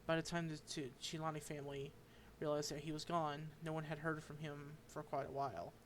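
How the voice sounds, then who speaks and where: A man streaming a game, reading its dialogue aloud and commenting, halting and stumbling over unfamiliar names. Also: a little high, level tone, background noise.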